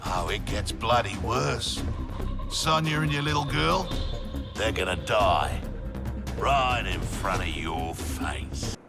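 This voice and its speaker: Game villain mercenary, gruff, gravelly, taunting, cocky, swooping pitch. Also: male voice, animated, takes it slowly, over music, heavily acted. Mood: menacing. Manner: taunting, sneering. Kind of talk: video game cinematic acting.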